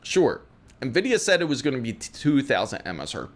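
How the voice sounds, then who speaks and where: A man hosting a casual tech livestream, chatting loosely through viewer questions. His pitch moves around a lot as he talks, and his speech is full of "uh"s and restarts. He sits at a middle pitch for a man. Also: normal speed. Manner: chatty, reads viewer questions aloud, many fillers and restarts; lively pitch. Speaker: tech livestream host answering chat questions. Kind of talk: casual live-stream chat.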